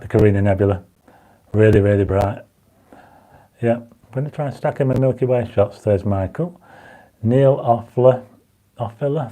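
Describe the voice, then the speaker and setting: A man's voice: mid-range voice, warm tone, poor audio. Man hosting a chatty livestream. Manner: reads viewer comments aloud, urges likes; enthusiastic when explaining stars. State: dry mouth, voice going croaky. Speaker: livestream host. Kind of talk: casual livestream chat. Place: home astronomy studio.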